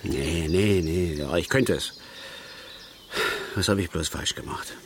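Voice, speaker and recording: A plain-spoken angler father in a naturalistic radio drama. His voice sits fairly low and he talks in blunt, halting bursts, repeating himself with an aggrieved edge. There is faint outdoor noise behind him. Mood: wistful, hurt. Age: middle-aged.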